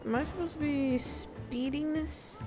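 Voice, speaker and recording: Woman streamer, high and animated, unhurried playful commentary over clear game music. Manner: playful, self-deprecating, reactive. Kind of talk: casual live gameplay commentary.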